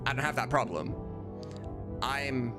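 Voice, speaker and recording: A man's voice: mid-range voice, animated, a little slow. Candid rambling man over background music. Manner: candid, rambling, swears casually. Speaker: streamer. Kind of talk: casual livestream chat answering viewers.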